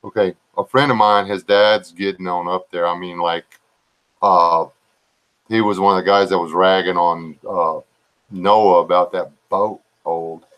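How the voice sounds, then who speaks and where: Slow, drawling low-voiced man. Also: fairly even intonation, fairly bright tone, low-quality recording. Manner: slow, drawling, low-key voice that viewers call relaxing or boring. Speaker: live stream host chatting with viewers. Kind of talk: rambling casual live chat.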